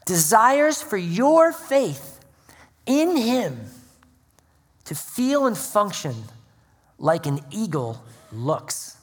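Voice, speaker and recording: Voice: mid-range voice, sing-song, drawn-out words, pauses often, husky. Preacher with long hall reverb. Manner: earnest preaching with prayer and scripture reading. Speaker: pastor. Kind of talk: sermon. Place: church sanctuary.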